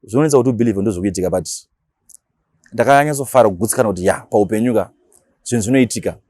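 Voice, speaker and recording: A man's voice: fairly low pitch, rapid-fire, darkish tone, no echo, clean studio sound. A man with a Zimbabwean accent, talking casually as a radio DJ being interviewed, mixing languages and repeating words for emphasis.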